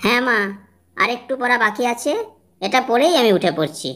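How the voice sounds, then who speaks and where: A woman's voice: fairly high pitch, lively intonation, fairly quick, fairly bright tone. A woman voicing a harried, grumbling schoolchild in an amateur comedy cartoon. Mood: anxious.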